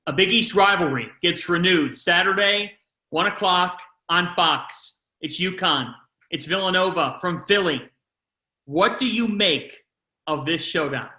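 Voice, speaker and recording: Male sports interviewer, high bright voice, lively questions, roomy echo. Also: a little slow. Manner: lively, shifts pace within questions.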